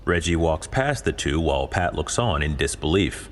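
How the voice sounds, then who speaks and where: A man's voice: very deep voice, expressive, moderate pace, slight background noise. A man reading scripted narration for an audio drama.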